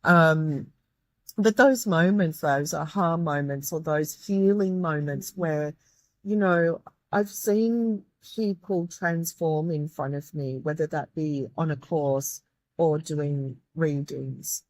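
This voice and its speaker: A low-pitched woman talks earnestly as an interview guest. Her voice is croaky and worn, and she often restarts her sentences. The recording is close and dry. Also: slow, a little mellow, no echo. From Australia. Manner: earnest, grateful, with many restarts. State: croaky, tired voice. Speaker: guest, medium and tutor. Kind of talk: reflective interview talk.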